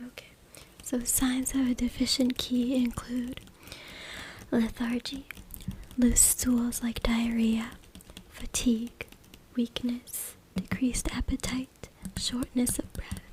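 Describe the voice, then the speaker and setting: A woman reads aloud in a breathy, unvoiced whisper in ASMR style, close to the microphone, with brief informal asides. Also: fairly high pitch, little pitch movement, takes it slowly, low-quality recording. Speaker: ASMR creator. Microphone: close USB microphone. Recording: loud background noise, tapping and clicking. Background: tapping, scratching and clicks.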